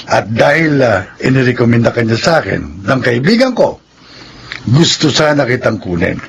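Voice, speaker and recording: An actor playing a generous but stern resort owner in a radio drama, with an authoritative manner. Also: male voice, medium pitch, sing-song, normal speed, gravelly. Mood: genial, persuasive. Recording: faint background noise.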